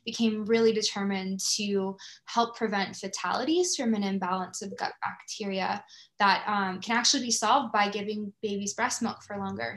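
Woman, podcast host, medium pitch, conversational interview style, effusive and appreciative delivery. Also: a bit bright.

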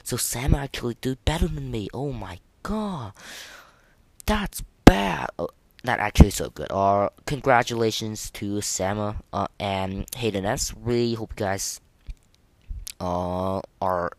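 A man with a fairly low voice hosts a casual gaming podcast. He talks in a halting, informal way, circling back and repeating words before moving on. The sound is close and dry, with little room in it. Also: animated, no echo. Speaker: podcast host.

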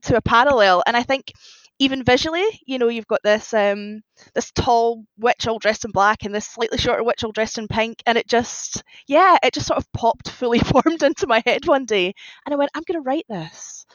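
A woman guest writer chatting in a casual interview, animated and a little self-deprecating, on slightly muffled audio. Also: on the high side, normal speed, no echo. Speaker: guest fan fiction writer.

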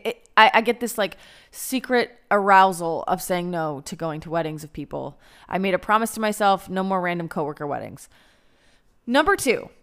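A woman's voice: mid-range voice, sharp tone, clear, resonant tone. A young American woman giving casual advice in a blunt, candid way, swearing freely. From United States. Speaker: advice vlogger.

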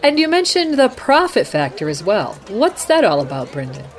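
A woman's voice: medium pitch, huge pitch swings, normal speed, soft background music. A woman playing a composed news anchor at war, putting formal questions to correspondents in a radio play. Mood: businesslike. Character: composed female news anchor covering a fantasy battle. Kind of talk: radio news broadcast roleplay.

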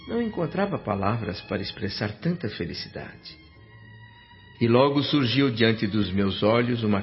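A man's voice: fairly low pitch, natural voice. An actor with a Brazilian accent playing an earnest, grateful spirit who narrates his own story in a radio drama, reverent and literary in manner. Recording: dull radio recording, faint music.

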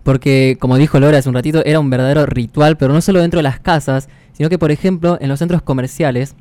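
A man's voice: on the high side, fairly even intonation, fast, warm tone, no room sound. A man with an Argentine accent, explaining things conversationally as a podcast co-host.

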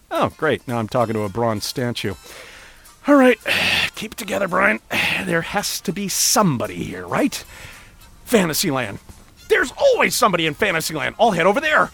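An excitable, mild-mannered everyman, acted by a man, talks to himself in an audio drama. The voice is high and very animated, theatrical and chatty, with faint music behind it. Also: normal speed, creaky, exaggerated voice. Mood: nervous. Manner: theatrical, animated, self-talking. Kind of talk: audio drama monologue, thinking aloud.

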